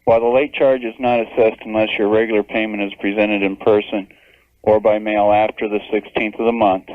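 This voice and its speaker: A man's voice: on the low side, little pitch movement, brisk, no echo. A man phoning a radio show as a listener, talking casually over the telephone. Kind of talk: casual phone call. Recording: narrow muffled phone line on radio.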